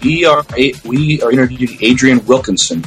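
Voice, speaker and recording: A man with a mid-range voice co-hosts a casual podcast. He talks in a halting, hesitant way with light music behind him. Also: mid-range voice, a bit bright. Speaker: podcast co-host.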